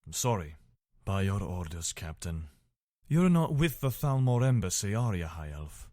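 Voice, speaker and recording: A man's voice: low-pitched, sing-song, normal speed, darkish tone. A man playing a loyal, weary imperial soldier in a fantasy video game, speaking in an earnest, conversational, soldierly way. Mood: explanatory, sober. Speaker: voice actor for a game character. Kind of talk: video game character dialogue.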